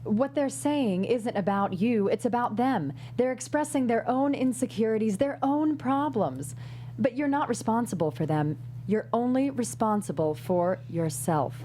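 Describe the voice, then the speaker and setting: A woman at a script table read playing a wise older female mentor who gives tough love in measured, emphatic advice. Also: on the high side, animated, dark tone, a little hiss or hum. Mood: blunt, reassuring.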